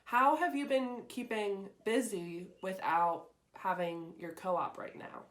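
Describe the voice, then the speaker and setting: A woman's voice: medium pitch, fairly even intonation, slow pace, fairly bright tone, rough recording. A young woman interviewing a fellow student in a casual, supportive way, asking follow-up questions.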